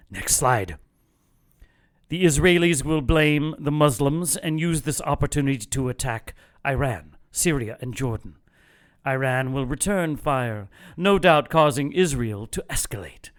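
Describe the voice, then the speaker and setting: An actor playing a fanatical cult leader in an audio drama, lecturing in a measured, contemptuous and gloating way. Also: male voice, mid-range voice, lightly acted. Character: fanatical cult leader brother plotting apocalypse, calm and contemptuous. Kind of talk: audio drama villain monologue.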